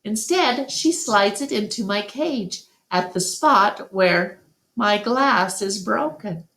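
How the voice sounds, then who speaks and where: A woman reading a children's book aloud as a storytime narrator, with deliberate, expressive phrasing. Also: mid-range voice. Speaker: storytime reader. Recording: roomy, echoey home recording.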